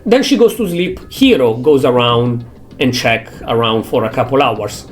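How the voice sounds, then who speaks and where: A young man with an Italian accent, a native Italian speaker, thinking aloud in English with halting phrasing as he streams a game. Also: medium pitch, expressive. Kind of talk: casual gameplay commentary.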